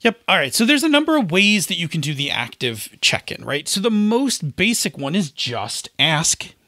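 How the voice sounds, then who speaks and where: A man's voice: on the high side, big pitch swings, moderate pace, pushed and bright, dry recording, clean studio sound. A man co-hosting a podcast, explaining things in casual chat and emphatically tagging his points with little check-in questions. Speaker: podcast co-host. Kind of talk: casual explanatory chat about tabletop gaming.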